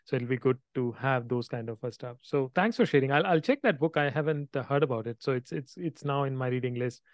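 A man hosting a podcast interview, friendly and a bit halting as he asks questions. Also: mid-range voice, no room sound, studio quality. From India. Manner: friendly, halting phrasing with restarts. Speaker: podcast host.

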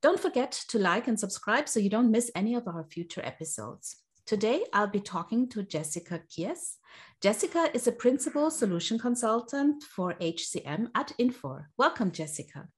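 A woman's voice: on the high side, very animated, moderate pace. A woman hosting an interview series, welcoming her guest and asking friendly, open questions. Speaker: podcast host.

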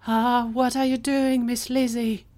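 A woman's voice: fairly high pitch, level tone, unhurried, mellow, voice pushed up, natural voice. Woman voicing a polite, earnest tiny male scientist. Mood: polite, flustered. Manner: formal, courteous.

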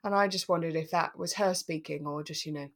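A woman's voice: sits low, a little fast, a loud crowd. A British woman chatting casually and enthusiastically as a podcast co-host.

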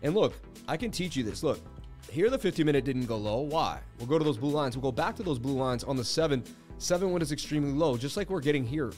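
A man's voice: medium pitch, animated, fast, darkish tone, faint music behind. A man hosting a crypto livestream, losing his voice, talking in hyped, boastful banter with his viewers. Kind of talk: casual livestream market commentary.

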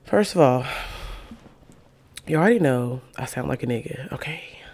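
Sick woman podcaster w a super deep gravelly voice, chatty n slangy, hyping herself up. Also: expressive, moderate pace, a little mellow. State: sick with covid, voice extra deep. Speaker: podcast host. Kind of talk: casual solo podcast chat.

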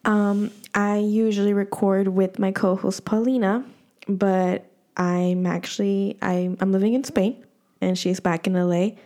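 A woman's voice: mid-range voice, fairly even intonation, a little slow, mellow. A woman hosting a podcast in casual chat, talking loosely with lots of fillers and restarts. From United States. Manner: chatty, many fillers and restarts. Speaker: podcast host.

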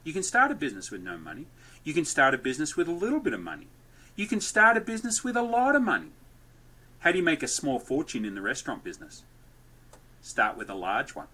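A man guesting on a podcast, telling stories and dad jokes loudly in a rambling, lively way. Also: a little high, fast talker, husky. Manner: loud, joke-telling, rambling. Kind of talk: lively conversational storytelling.

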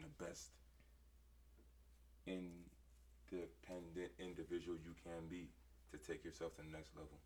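A man with a hoarse voice co-hosting a casual podcast, laid-back and encouraging. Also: very deep voice, a little mellow, distant mic, a little hiss or hum. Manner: laid-back, affirming, motivational. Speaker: podcast co-host.

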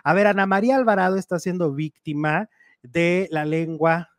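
A man co-hosting a casual gossip show, animated and chatty as he reads out and comments on news items. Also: sits high, moderate pace, no room sound, studio quality.

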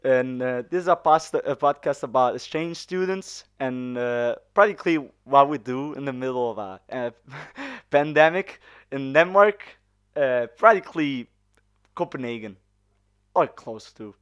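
A young man from Sicily, hosting a casual podcast and often pausing to search for words. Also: medium pitch, animated, clear, resonant tone, muffled recording. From Sicily, Italy. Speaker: podcast host.

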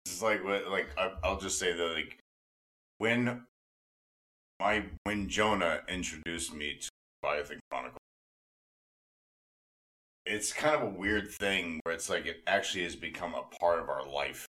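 A man's voice: low-pitched, a little slow, long pauses, pushed and bright. A man in his forties chatting casually as a fan and host, earnest and rambling, often repeating himself and swearing freely. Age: 40s. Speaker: podcast host and devoted fan.

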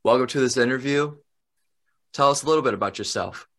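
A man's voice: medium pitch, fairly quick, fairly bright tone. A young man hosting a casual interview in a conversational way. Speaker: interview host.

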